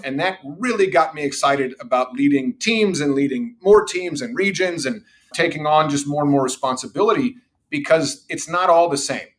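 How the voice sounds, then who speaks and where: Confident storytelling man, fairly high voice. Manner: confident, anecdotal, says he has a loud voice. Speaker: revenue executive guest. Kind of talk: podcast interview storytelling.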